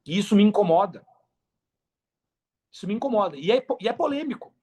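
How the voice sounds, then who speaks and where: A man's voice: high voice, animated, brisk, mellow, slightly rough. A Brazilian man speaking casually and earnestly as a podcast guest, repeating phrases for emphasis. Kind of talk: casual interview talk.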